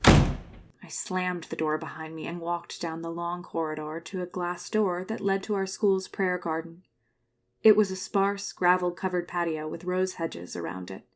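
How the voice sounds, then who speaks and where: An actress playing a guarded, wounded woman who narrates her own story in a measured, confessional audio drama style. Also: fairly low pitch, fairly even intonation, gravelly. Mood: subdued, reflective. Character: Florence, guarded, wounded woman recalling her religious school childhood. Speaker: narrator and lead character. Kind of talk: first-person scripted drama narration.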